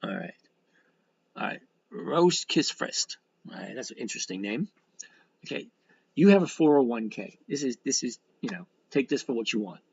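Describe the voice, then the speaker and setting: A man's voice: mid-range voice, lively intonation, moderate pace, vocal fry, darkish tone, breathy edge, muffled recording. A man of sixty chatting casually as a livestream host, reading out and answering comments in a blunt, folksy, rambling way with some mild swearing. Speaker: livestream host and stock trader.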